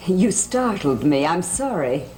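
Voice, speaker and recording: A woman's voice: on the low side, big pitch swings, unhurried, warm tone, slight background noise, low-quality recording. An actress playing a genteel, inquisitive and flirtatious lady guest in a gothic television soap. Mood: probing.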